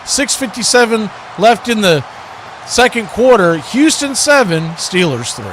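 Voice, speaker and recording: A man's voice: sits high, measured pace. A man doing radio-style live football play-by-play commentary, mixed with casual asides. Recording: steady background noise.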